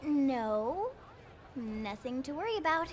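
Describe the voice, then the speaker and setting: A woman's voice: sits high, very animated, slow pace, a little hiss or hum, exaggerated voice. An actress playing a chirpy, playful young woman pilot in a video game. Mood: upbeat. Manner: bubbly, playful. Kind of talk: video game cutscene voice acting. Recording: music behind the voice.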